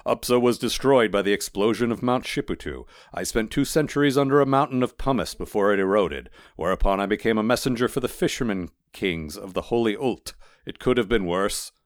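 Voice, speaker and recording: A man voicing an ancient male clay golem messenger, weary and fatalistic, speaking in a solemn, formal, rumbling way. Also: expressive, dry recording, studio quality, natural voice. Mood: solemn, resigned. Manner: blank, solemn, formal, unhurried.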